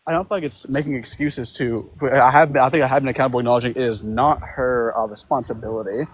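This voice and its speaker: Fast, defensive male caller. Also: mid-range voice, steady tone. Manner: defensive, halting. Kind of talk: call-in conversation. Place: outdoors on a walk. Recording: faint noise from a poor phone connection.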